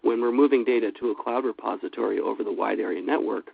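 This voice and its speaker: A man with a cold giving a steady, businesslike webinar presentation, with frequent fillers. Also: on the low side, quick pace, vocal fry, breathy edge, no room sound. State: has a cold. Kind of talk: scripted product presentation. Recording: dry, phone-like line.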